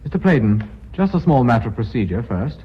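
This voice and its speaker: A man's voice: medium pitch, big pitch swings, a little fast, close to an everyday voice. An actor playing a male company chairman in a radio drama, dry, formal and authoritative. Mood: brisk, authoritative. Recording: dull, slightly noisy radio recording.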